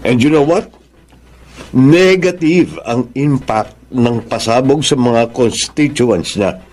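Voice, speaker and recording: An actor playing a smug, ambitious grandson plotting in politics, theatrical and sprinkling in English, in a Filipino radio drama. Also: male voice, medium pitch, takes it slowly, darkish tone.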